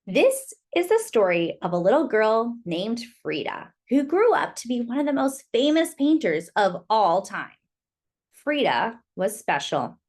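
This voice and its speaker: A woman's voice: on the high side, big pitch swings, unhurried. A woman reading a picture book aloud to young children, expressively and with little asides to her listeners.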